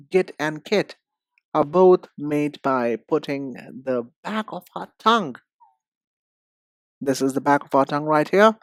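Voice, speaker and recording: Lady doing a pronunciation lesson, low voice, really slow and deliberate, enunciates everything. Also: no room sound. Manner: deliberate, emphatic enunciation with pauses. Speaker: English pronunciation teacher. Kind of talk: instructional lesson, demonstrating sounds.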